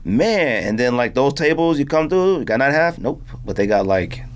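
A man's voice: mid-range voice, lively intonation, brisk. A man hosting a podcast in casual, slangy conversation, telling stories and acting out quotes. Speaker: podcast host.

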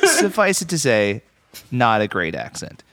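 An American man hosting a podcast, chatting casually and jokily about a movie in an animated way. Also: medium pitch, slow, dry recording. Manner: jokey, animated. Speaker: podcast host. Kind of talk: casual comedic movie chat.

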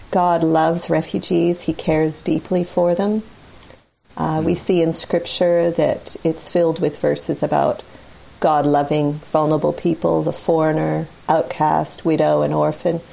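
A woman speaks in a very low, mellow voice with heavy vocal fry. She explains steadily and earnestly, as a guest in conversation. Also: steady tone, unhurried, low-quality recording. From North America. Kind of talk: conversational testimony.

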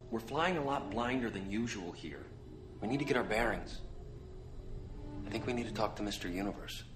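Male sci-fi captain played by a man, screen drama, lowish voice, music behind. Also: expressive, fairly quick, natural voice. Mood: grave, urgent. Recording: film clip with music underneath.

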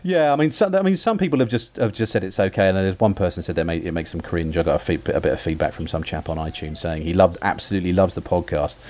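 Deep-voiced British man, quick and halting. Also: animated, darkish tone. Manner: hesitant, many restarts and fillers. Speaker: history podcaster, guest. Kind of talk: casual interview over a call. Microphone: remote call audio.

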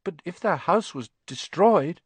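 Male narrator as young boy, storybook hero, raised pitch, eager, anxious, hesitant questions, dry sound. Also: lively intonation, unhurried, slightly rough, no echo, exaggerated voice. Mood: puzzled, worried.

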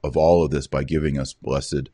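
A man with a Texan accent hosting a podcast interview, relaxed and joking, asking questions. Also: rumbling low, moderate pace, husky, no echo, clean studio sound. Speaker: podcast host. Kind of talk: casual conversational interview.

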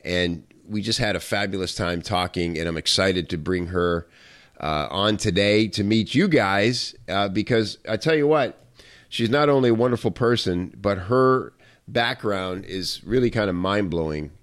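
Enthusiastic male podcast host, lower voice. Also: moderate pace, fairly bright tone. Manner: enthusiastic, rambling, lots of fillers. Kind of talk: interview conversation.

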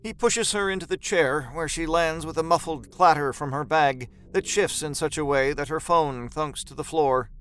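A man's voice: a little high, normal speed, few pauses, a bit bright. A man narrating a scripted horror story in a dramatic storytelling style.

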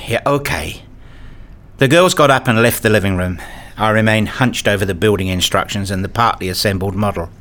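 Male narrator for a scripted first-person audio drama, lower register, also voices the dad's dialogue. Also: a little fast, a bit bright. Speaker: narrator and father in an audio play.

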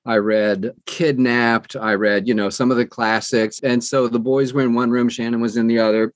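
A man in his sixties chatting as an interview guest, telling personal stories in an animated way and acting out quoted dialogue. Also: mid-range voice, moderate pace, dry recording, studio quality. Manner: animated storyteller who acts out quoted dialogue. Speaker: guest, audiobook narrator. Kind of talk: conversational personal storytelling.

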